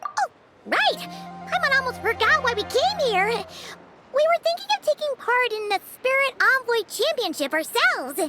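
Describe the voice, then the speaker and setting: An actress voicing a tiny excitable floating girl companion who speaks of herself in the third person, in a video game. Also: extremely high pitch, normal speed. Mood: chirpy. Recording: game audio with loud music. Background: game music.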